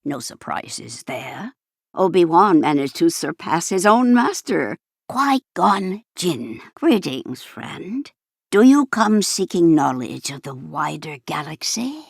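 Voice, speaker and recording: An elderly female archivist from a space-fantasy video game, speaks in a formal, prim way with a wry, fussy edge. Her voice sits a little low for a woman and moves in lively, theatrical swings. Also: takes it slowly, gravelly, breathy edge, dry recording, clean studio sound, full character voice. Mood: storytelling, wry. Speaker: game character voice actor. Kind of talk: scripted video game character lines.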